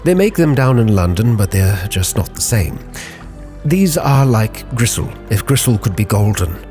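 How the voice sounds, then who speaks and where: A man narrating a sea captain's log in a measured, literary, theatrical storytelling style. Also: on the low side, big pitch swings, darkish tone. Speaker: roleplay storyteller, channel host. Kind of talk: dramatic scripted narration. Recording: prominent background music. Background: music.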